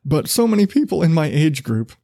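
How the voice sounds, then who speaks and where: Male podcast host, low mellow voice, casual profane rambler, dry booth sound. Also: very animated, fairly quick, gravelly, no room sound. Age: not a millennial. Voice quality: relatively low voice. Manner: loose, profane, self-deprecating with many restarts.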